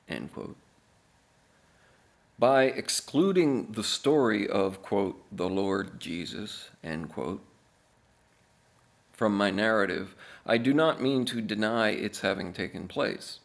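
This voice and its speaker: A man reading aloud as an author, in a deadpan, measured manner with deliberate pauses. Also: fairly low pitch, vocal fry. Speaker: author reading own book.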